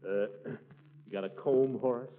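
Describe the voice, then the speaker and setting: An actor playing a pompous, blustering middle-aged water commissioner in 1940s radio comedy, eager and easily flustered. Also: male voice, mid-range voice, measured pace, natural voice. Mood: hopeful, self-important. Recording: muffled, noisy 1940s radio recording.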